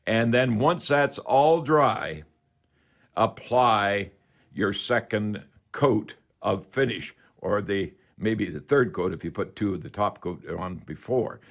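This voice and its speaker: A man's voice: lowish voice, slow pace. A man hosting a radio call-in show, giving unscripted, instructive how-to advice and repeating key phrases for emphasis.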